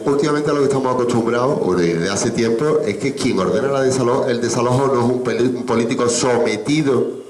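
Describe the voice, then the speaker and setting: A man's voice: mid-range voice, a little fast, darkish tone, echoey room, rough recording. A man speaking at a public assembly in a formal, reasoned way, laying out his points in order.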